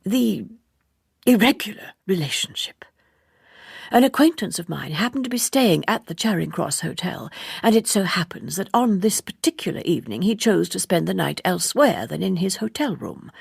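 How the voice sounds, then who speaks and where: A woman reading an audiobook, voicing an earnest, fussy gentleman who speaks formally and insistently in roundabout sentences. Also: medium pitch, expressive, moderate pace, vocal fry, breathy edge, no room sound, studio quality, exaggerated voice. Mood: grave, insinuating. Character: earnest, fussy, gossiping gentleman, gravely indignant. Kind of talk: audiobook character dialogue.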